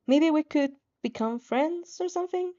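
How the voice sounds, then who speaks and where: A woman's voice: high-pitched, normal speed, dark tone, dull audio, voice pushed up, natural voice. A woman voicing a cheerful, awkward young woman who is eager to please and gushes hesitantly. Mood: awkward, hopeful. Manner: gushing, hesitant.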